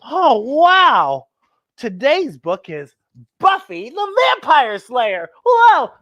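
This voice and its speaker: A woman hosting a children's story time, excitable and playful, with exaggerated expressive storytelling. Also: high voice, extremely slow, highs cut off.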